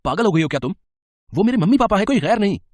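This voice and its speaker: A man voicing an earnest, emphatic young married son in an animated storybook tale. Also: sits high, quick pace, no room sound, studio quality. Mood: angry.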